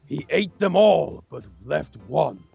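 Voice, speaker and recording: Man playing a creaky, excitable young storyteller. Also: fairly high pitch, slow pace, lo-fi sound, faint music behind, heavily acted. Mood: dramatic storytelling. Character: excitable young man gleefully reading silly fan fiction aloud. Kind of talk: hammy storytelling in Minecraft roleplay.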